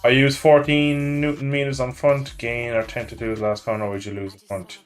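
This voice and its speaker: A man's voice: medium pitch, level tone, normal speed, clear, resonant tone, faint music behind. A man with an Irish accent chatting casually and joking while streaming a motorbike racing game. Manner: joking, rambling, self-mocking. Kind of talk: casual chat while gaming.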